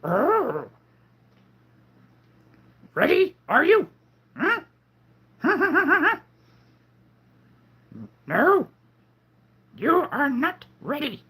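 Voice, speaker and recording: A tiny, wise old alien master speaks in a squeaky, very high voice that leaps wildly up and down, as a man strains to put him on. The words come out very slowly and haltingly. The tone stays bright and thin. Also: wildly swooping pitch, full character voice. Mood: teasing. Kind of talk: live-stream voice impression.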